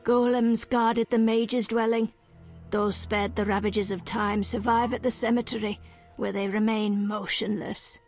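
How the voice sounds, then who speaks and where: An actress playing a solemn, cryptic female village elder in a video game, speaking in measured, archaic phrases. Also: mid-range voice, flat delivery, a little mellow, soft background music, close to an everyday voice. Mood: grave storytelling.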